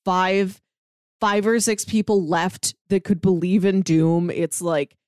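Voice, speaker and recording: A woman's voice: fairly low pitch, steady tone, slow pace, darkish tone, no room sound, clean studio sound. A woman co-hosting a podcast, discussing comic books casually in a conversational, enthusiastic fan's manner. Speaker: podcast co-host.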